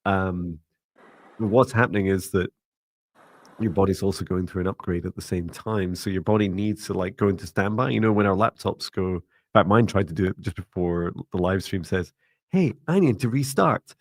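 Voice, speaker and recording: Male psychic host, low pitch, deep even tone, slow and meditative, dry close sound. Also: dry recording. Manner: slow, meditative, rambling with fillers. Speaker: psychic mentor livestream host. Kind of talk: livestream psychic reading.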